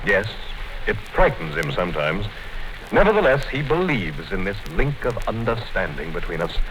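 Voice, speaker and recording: A cold, commanding party official speaks in a low, measured voice that rises and falls freely. His talk is calm and quietly menacing. The sound is an old radio broadcast with a faint hiss. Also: rough recording. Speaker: actor. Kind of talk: 1950s radio drama acting.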